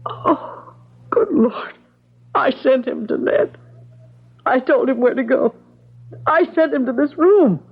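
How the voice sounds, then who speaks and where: An actress playing a distraught, haunted wife in an old radio drama, with a husky voice. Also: female voice, high voice, expressive, takes it slowly, long pauses, full character voice. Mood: anguished, tearful. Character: distraught wife haunted by her husband's vanishing. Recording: dull lo-fi radio recording.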